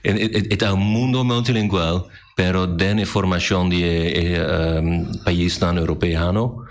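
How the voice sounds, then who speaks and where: A man whose first language is Dutch, talking as a guest and language teacher in a radio discussion, halting and repeating words as he searches for them. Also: deep voice, steady tone. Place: radio studio.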